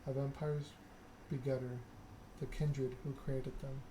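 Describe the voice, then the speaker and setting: A soft-spoken male game streamer talking in an ASMR style, measured and a little halting. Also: medium pitch, level tone, background noise.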